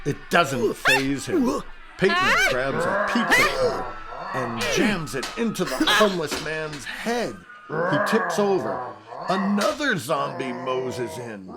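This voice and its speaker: A man's voice: on the high side, wildly swooping pitch, takes it slowly, over loud music. A man reading scripted narration for a radio play.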